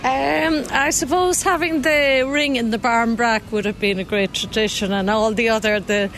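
A woman's voice: on the high side, fairly even intonation, normal speed, noisy background. A woman in a street interview, explaining customs in a thoughtful way.

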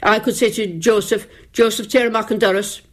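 An older woman, reminiscing gently and haltingly in a casual family conversation. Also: a little high, level tone. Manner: gentle, reflective, halting with fillers.

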